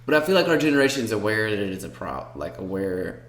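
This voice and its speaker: A man's voice: lowish voice, big pitch swings, a little fast, a bit bright, echoey room. A young man co-hosting a casual podcast, rambling with lots of fillers and restarts. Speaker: podcast co-host. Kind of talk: casual chat.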